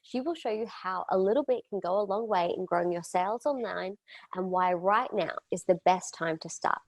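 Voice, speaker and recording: A woman host on a video call, reading a prepared introduction at a steady pace. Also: mid-range voice, normal speed, dry recording. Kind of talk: scripted introduction.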